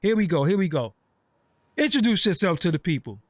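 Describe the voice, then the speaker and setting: A man's voice: high-pitched, very animated, fairly quick, no room sound. A man hosting an online interview, chatting casually with lots of fillers and street slang. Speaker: host.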